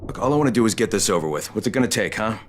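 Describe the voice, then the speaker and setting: A male actor as a blunt, low-voiced game hero. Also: expressive, very fast, a little hiss or hum, close to an everyday voice. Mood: threatening. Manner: blunt, wry, tough-talking. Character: gruff, weary male undercover operative, reluctant enforcer. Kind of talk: video game cutscene acting.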